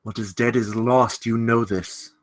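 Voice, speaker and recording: An actor playing a menacing, courteous gentleman villain in a script table read, telling his tale in deliberate, ornate, theatrical phrasing. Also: mid-range voice, fairly even intonation, natural voice. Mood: quiet threat.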